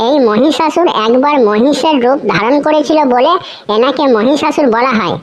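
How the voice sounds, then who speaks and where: Woman voicing a high-pitched, cheeky cartoon schoolboy. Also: normal speed, natural voice. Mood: earnest reciting. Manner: sing-song recitation with repeated words. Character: cheeky, overconfident schoolboy reciting absurd crocodile essays. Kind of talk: comedy cartoon voice acting.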